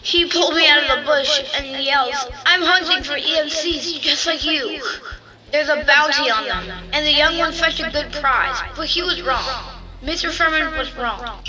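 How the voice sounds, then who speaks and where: High-voiced frightened young boy. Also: pushed and bright, far from the mic, highs cut off, faint music behind. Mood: scared, defensive. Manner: halting, pleading, tearful. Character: frightened, guilt-ridden young boy confessing. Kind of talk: audio drama acting.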